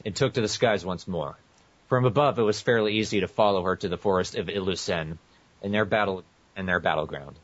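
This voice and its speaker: Low-voiced man, echoey muffled recording. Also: a bit bright. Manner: stumbles over words and corrects himself. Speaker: podcast co-host. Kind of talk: reading fan fiction aloud, with casual asides. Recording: muffled, echoey lo-fi podcast audio.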